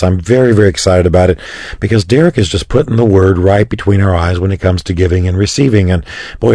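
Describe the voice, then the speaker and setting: Man, radio host, raspy, low voice, rapid talk, dry close mic. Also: no room sound. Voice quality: raspy today. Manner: warm conversational chat, some stumbles. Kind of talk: casual radio chat.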